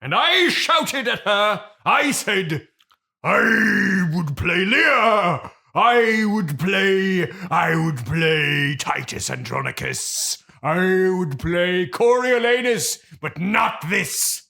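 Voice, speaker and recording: A self-important classical thespian played by a man with a fairly high, very bright and forceful voice. He is theatrical and easily indignant, nursing his grievances as a guest in an improvised comedy interview. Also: big pitch swings, very slow, creaky, heavily acted. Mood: indignant outrage. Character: pompous, aggrieved classically trained Shakespearean stage actor.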